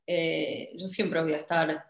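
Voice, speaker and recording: A woman's voice: sits low, little pitch movement, takes it slowly, vocal fry, echoey room. A young woman with an Argentine accent, speaking on a video call as a guest, hesitant with many fillers and restarts. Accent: Argentine Spanish. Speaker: club director, guest. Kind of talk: casual interview on a video call. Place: home office, indoors. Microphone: laptop webcam mic on a video call.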